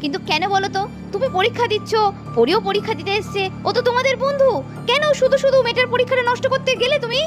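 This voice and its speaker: A woman tells a cartoon story in a very high voice and at a brisk pace, voicing several characters and scolding sharply. Music plays steadily under her voice. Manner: scolding, shifts between narration and character voices.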